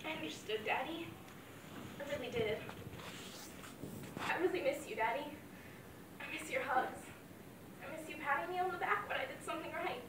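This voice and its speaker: A woman's voice: high-pitched, moderate pace, pauses often, reverberant, distant mic, noisy background, lightly acted. An actress playing a grieving young mother who talks to her dead father in a heartfelt dramatic monologue. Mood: reminiscing. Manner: heartfelt, wavering between fond memories and anguish.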